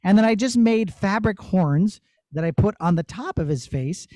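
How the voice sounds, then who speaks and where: Male puppeteer, middle-aged, high voice, casual teaching delivery for young listeners. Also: expressive, moderate pace, dark tone, no echo. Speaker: puppeteer teaching a master class. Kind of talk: casual explaining to children.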